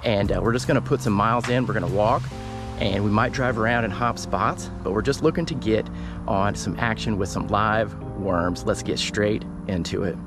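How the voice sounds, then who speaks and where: A man vlogging while fishing outdoors by a canal, talking casually and breaking into excited exclamations. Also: fairly low pitch, moderate pace, over music. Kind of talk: casual fishing vlog narration.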